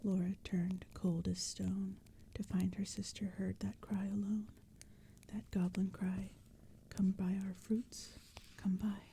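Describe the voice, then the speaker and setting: A woman reading poetry in a soft, breathy ASMR whisper, with a gentle, hushed storytelling manner. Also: medium pitch, level tone, takes it slowly. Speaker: audiobook reader. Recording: faint ambient crackling fire and forest sounds. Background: crackling fire, forest ambience.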